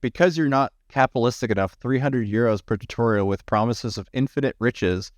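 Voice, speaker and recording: A man chatting casually to his livestream viewers, rambling with many restarts and sounding slightly hoarse after hours of talking. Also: mid-range voice, brisk, no echo, clean studio sound. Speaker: livestreamer and AI art tutorial maker.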